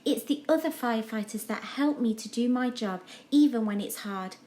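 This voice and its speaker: A woman's voice: fairly high pitch, moderate pace, warm tone, lightly acted. A woman voicing a friendly, encouraging firefighter in a children's storybook, upbeat and reassuring. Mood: explaining. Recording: echoey room.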